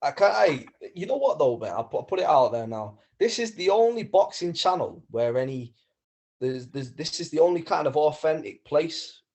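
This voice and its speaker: A man's voice: fairly high pitch, lively intonation, moderate pace, a little mellow. A man with a North West English accent chatting casually as a guest, matey and slangy, often restarting his sentences. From Manchester area, England. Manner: matey, slangy, stumbling over starts. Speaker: guest caller. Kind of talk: casual chat.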